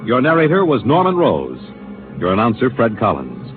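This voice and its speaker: A male announcer reading the closing credits of a radio program in a crisp, formal manner. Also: mid-range voice, wildly swooping pitch, moderate pace. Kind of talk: formal 1950s broadcast announcing. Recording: tinny 1950s radio transcription with music.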